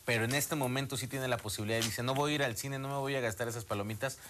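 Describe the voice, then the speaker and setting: Guy co-hosting, talks fast, lots of ehs. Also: medium pitch, fairly even intonation, a bit bright, slight background noise. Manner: explanatory, filler-heavy. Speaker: co-host. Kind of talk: live telethon chat.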